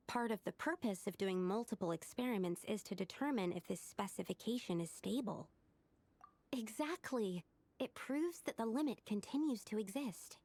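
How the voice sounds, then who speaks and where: A woman's voice: medium pitch, gravelly, darkish tone. A woman voicing a studious, earnest young female alchemist who explains things precisely in a video game.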